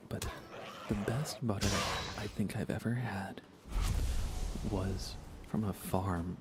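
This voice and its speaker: A soft-spoken man chatting casually on an ASMR gaming livestream, rambling unhurriedly and often slipping toward a whisper. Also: fairly low pitch, slow, very noisy. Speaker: livestreamer playing a video game.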